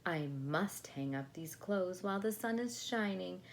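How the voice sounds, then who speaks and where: Storybook grandmother acted by a woman: elderly, loving, gently measured, lilting, faint background noise. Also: fairly low pitch, animated, voice pushed up. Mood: patient, chiding. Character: patient, affectionate old Russian grandmother.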